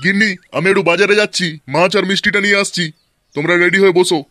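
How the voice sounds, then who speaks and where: A man's voice: sits high, steady tone, fast talker, bright, forceful tone, slight background noise. A man voicing a brash, stingy, flirtatious middle-aged husband and father in a comedy cartoon, full of crude sarcastic banter. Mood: bossy. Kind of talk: comic cartoon dialogue.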